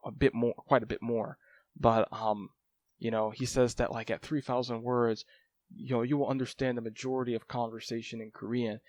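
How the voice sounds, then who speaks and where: A man's voice: medium pitch, level tone, moderate pace, darkish tone, dry recording. A man talking casually to camera about his language study, rambling and filling his talk with "like" and "um". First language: English. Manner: rambling, lots of "like" and "um" fillers. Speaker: language-learning vlogger. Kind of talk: casual talking-head update.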